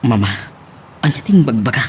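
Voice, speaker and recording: A man's voice: mid-range voice, big pitch swings, brisk, a little hiss or hum, natural voice. A man acting an evasive married man and sheepish son in an Ilocano audio drama. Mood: groggy.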